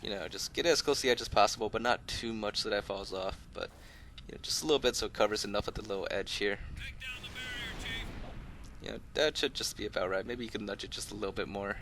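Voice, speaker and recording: A man's voice: lowish voice, fast, fairly bright tone, slight background noise. A man giving casual, unscripted gameplay commentary as a video host, talking in an easygoing, rambling, conversational way. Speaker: host of a gaming video channel.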